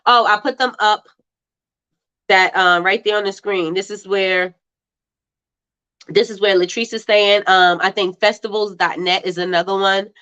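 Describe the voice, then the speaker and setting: A woman's voice: medium pitch, fairly even intonation, a little slow, pauses often, fairly bright tone, smooth. Stuffy, congested woman hosting a chatty livestream. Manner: chatty, laughs often, repeats herself. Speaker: livestream host. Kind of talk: casual live-stream chat reading viewer comments. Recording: slightly dull lo-fi livestream audio.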